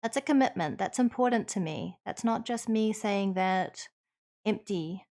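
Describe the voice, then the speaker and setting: A woman with a New Zealand accent, streaming and chatting with viewers in an earnest, reassuring way, pausing with ums as she thinks. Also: medium pitch, darkish tone. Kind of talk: casual chat answering viewers.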